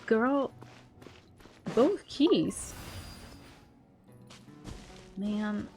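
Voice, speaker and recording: A woman's voice: on the high side, expressive, extremely slow, warm tone. A young woman giving casual, chatty, slangy reaction commentary as she plays a video game. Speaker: gaming streamer. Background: game theme music.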